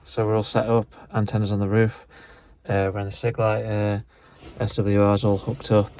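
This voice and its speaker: Man, low mellow voice, tentative and rambling, casual vlog explanation, noticeable background noise. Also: completely flat delivery, moderate pace. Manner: tentative, self-deprecating, rambling. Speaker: vlogger, hobbyist trying out radio gear.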